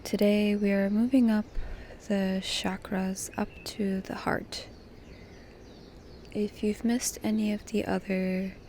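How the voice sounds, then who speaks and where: Slow, soft-spoken woman guiding meditation. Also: mid-range voice, slight background noise. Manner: calm, gentle, pausing between short phrases. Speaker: guided meditation host. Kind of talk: guided meditation, soft slow soothing delivery.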